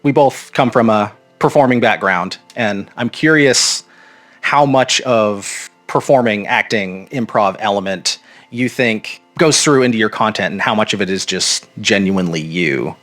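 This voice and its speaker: A man's voice: fairly low pitch, measured pace, a bit bright, soft background music. A man hosting an unscripted, casual interview, phrasing his questions thoughtfully and encouragingly. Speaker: podcast host and interviewer.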